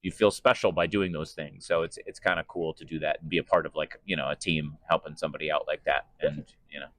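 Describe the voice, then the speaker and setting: A man's voice: rumbling low, fairly even intonation, fast, a bit bright. A man giving casual interview answers in a hesitant, rambling, conversational way. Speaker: sports PA announcer and voice actor being interviewed.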